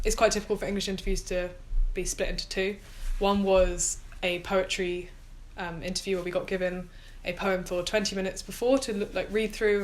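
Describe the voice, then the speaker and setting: A young woman of about twenty from Surrey, an English student, describing her interviews in a steady, methodical way. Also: lowish voice. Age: around 20. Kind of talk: casual interview answers.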